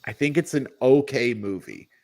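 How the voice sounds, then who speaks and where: A man's voice: mid-range voice, expressive, moderate pace, vocal fry, a little mellow. A man co-hosting a casual movie review discussion, thinking aloud in an informal, hedging way. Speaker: podcast co-host reviewing movies. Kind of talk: casual panel chat.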